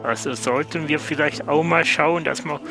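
A man speaking in a plain, earnest, deliberate way as a guest player in an improvised audio adventure. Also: a little high, fairly even intonation, creaky, over music.

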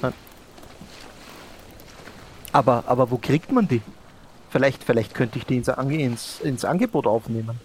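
Guy playing a friendly shop keeper at a port, hesitant, repeats words, normal pitch, bit of noise. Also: moderate pace, long pauses, lightly acted. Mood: pondering. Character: friendly, hesitant small-town ship supplies shopkeeper. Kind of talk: improvised audio drama role-play.

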